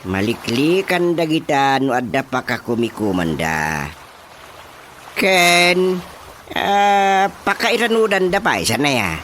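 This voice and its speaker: Ilocano na ama, mapagsuporta. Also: male voice, on the high side, sing-song, takes it slowly, fairly bright tone, a little hiss or hum, full character voice. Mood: thoughtful, approving. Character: supportive older Filipino father advising his daughter. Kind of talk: radio drama acting. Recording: radio drama with faint music behind.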